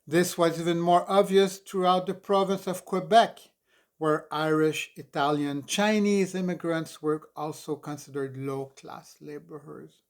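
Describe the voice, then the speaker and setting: Man, sixties, slightly high pitch, unhurried delivery, expressive audiobook narration, emphatic storytelling. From Montreal, Canada. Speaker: author reading own memoir.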